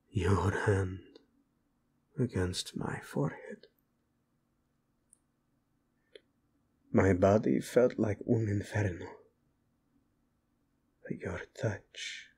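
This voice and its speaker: A male voice actor playing a brooding young warlord prince who speaks softly and often drops to a whisper to his bride. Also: low-pitched, a little hiss or hum, exaggerated voice. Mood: tender. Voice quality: husky, soft-spoken, often drops to a whisper. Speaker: audio roleplay voice actor. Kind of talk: audio roleplay acting.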